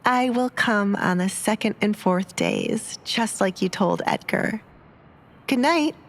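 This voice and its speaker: A woman's voice: mid-range voice, slow pace, pitched above the performer's own voice. A woman narrator voicing a polite, playful young bride in an audio drama.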